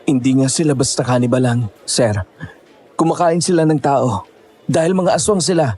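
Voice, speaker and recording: A man's voice: mid-range voice, moderate pace, a little mellow, soft background music, natural voice. A man playing an earnest, devoted young boyfriend in an audio horror drama. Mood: insistent.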